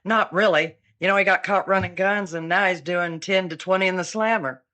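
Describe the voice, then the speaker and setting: An actress playing a chatty young woman eyewitness in a comic radio drama, rambling and deadpan. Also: on the low side, a little fast. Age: 20s. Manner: chatty, meandering, with an offbeat deadpan.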